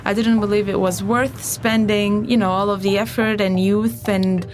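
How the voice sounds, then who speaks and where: A woman's voice: medium pitch, fairly even intonation, moderate pace. A woman from Angola, a biologist, speaking candidly in an interview.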